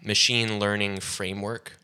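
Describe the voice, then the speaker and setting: Laid back tech podcast dude, kinda low voice, says like a lot. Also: male voice, unhurried, clean tone. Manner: relaxed explainer, hedging with "like" and "um". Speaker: podcast co-host. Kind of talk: casual tech chat.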